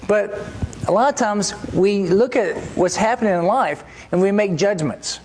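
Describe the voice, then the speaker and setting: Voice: medium pitch, normal speed, a little mellow, a little hiss or hum, rough recording. A preacher giving a church sermon in a conversational way, often asking the listeners rhetorical questions.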